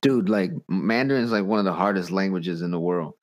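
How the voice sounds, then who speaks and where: Low-voiced American man hosting a casual podcast. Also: brisk. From United States. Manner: informal hip hop slang. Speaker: podcast host. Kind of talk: casual interview chat.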